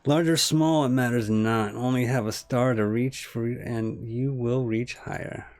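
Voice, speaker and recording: A man's voice: medium pitch, steady tone, a little slow, a little mellow, close to an everyday voice. A man voicing an earnest, lofty adventurer from a fantasy game, speaking grandly in formal, archaic phrases. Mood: solemn, encouraging. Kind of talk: fantasy game dialogue read aloud.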